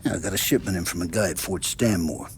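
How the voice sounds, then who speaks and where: Deep-voiced, gruff mob armorer. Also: male voice, quick pace. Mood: businesslike. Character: male mob armorer, matter-of-fact underworld fixer. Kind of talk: cinematic video game acting. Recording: clear background noise and clicking.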